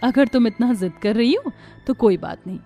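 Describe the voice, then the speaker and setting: A woman voicing a pious, long-suffering young mother in a cartoon, gentle, earnest and pleading. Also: on the high side, normal speed, faint music behind, lightly acted. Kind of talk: Hindi cartoon voice acting.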